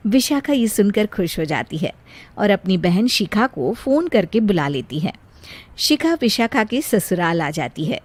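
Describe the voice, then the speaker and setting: A woman's voice: medium pitch, very animated, a little slow, darkish tone. A woman narrating a scripted Hindi children's cartoon story as the storyteller.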